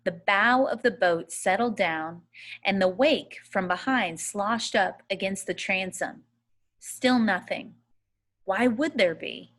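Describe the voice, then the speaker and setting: Slow-paced woman reading a story aloud. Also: mid-range voice, fairly bright tone. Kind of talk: audiobook-style readaloud of a first-person children's novel.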